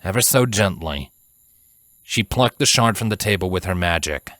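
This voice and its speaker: A man reading scripted fantasy fiction as narrator, in a measured, dramatic storytelling manner. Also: low-pitched, lively intonation, fairly bright tone, dry recording, studio quality.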